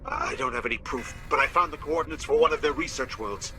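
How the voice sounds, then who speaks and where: An actor playing an alarmed military officer in a video game, sending a grim warning with tense urgency. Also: male voice, fairly high pitch, quick pace, pushed and bright, soft background music. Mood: grave, urgent. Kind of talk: dramatic video game voice acting.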